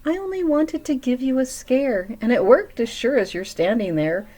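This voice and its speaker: A cheeky little gray mouse , put on by a woman in a higher voice, playful and bouncy in his rhyming lines. His voice has a mellow, soft edge and lively rises and falls. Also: normal speed. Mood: teasing. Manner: teasing, playful rhymes.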